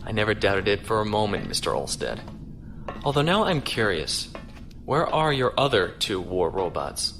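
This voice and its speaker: A man playing a courteous, earnest starship captain in an audio drama, speaking in a measured, formal way. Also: on the low side, big pitch swings.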